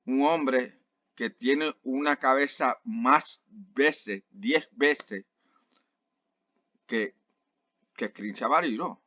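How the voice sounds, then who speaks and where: Slow-talking man with many fillers. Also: mid-range voice, pushed and bright, no room sound, lo-fi sound. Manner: halting, with many fillers and restarts. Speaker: online Bible teacher. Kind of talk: casual video commentary.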